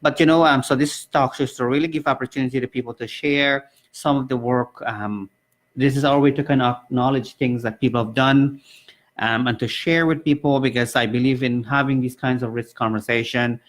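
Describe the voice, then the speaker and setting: Man from Guyana, radio talk host, earnest and rambling, mid pitch, studio mic. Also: fairly even intonation, a little fast. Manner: earnest, rambling with many fillers and restarts. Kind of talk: live community radio chat.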